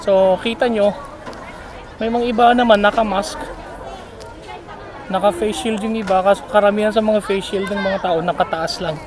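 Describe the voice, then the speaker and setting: A man's voice: sits high, fairly even intonation, normal speed, pauses often. A Filipino man talking casually while walking a crowded outdoor street market, rambling with many fillers, filmed on a phone held at arm's length. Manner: chatty, rambling with frequent fillers. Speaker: vlogger. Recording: outdoor phone video, loud crowd noise.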